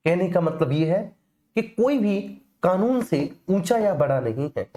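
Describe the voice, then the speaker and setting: A woman's voice: lowish voice, expressive, measured pace, dark tone. A woman with an Indian accent giving an explanatory exam-coaching lecture, addressing students familiarly and repeating key phrases for emphasis. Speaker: teacher.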